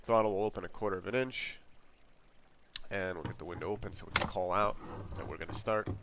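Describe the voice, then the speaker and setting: A man's voice: sits low, brisk. A congested man casually talking through a flight sim checklist as a streamer, rambling and reading each item aloud. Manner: relaxed, rambling, reads checklist items aloud. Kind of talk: casual walkthrough narration. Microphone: desk mic. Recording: noisy home mic recording.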